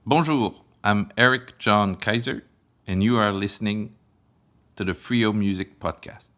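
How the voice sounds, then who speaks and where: A middle-aged man with a French accent and a notably deep voice. He talks casually, like a musician being interviewed on a podcast. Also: unhurried, fairly bright tone. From Paris, France. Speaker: singer-songwriter, podcast guest.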